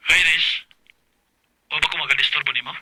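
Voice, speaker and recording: A man's voice: on the high side, steady tone, fairly quick, sharp tone, slight background noise, low-quality recording. A man playing a young student suitor in a radio drama, polite and direct, with a Cebuano accent. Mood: hopeful, courting. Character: Kiefer, forward young male student suitor.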